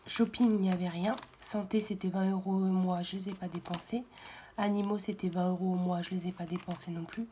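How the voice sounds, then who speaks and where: A hoarse woman speaking softly and half-whispering at home in the early morning, hesitant and full of fillers as she walks through her weekly budget. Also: fairly low pitch, fairly even intonation, fairly quick, slight background noise. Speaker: budget vlogger. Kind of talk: casual budget walkthrough.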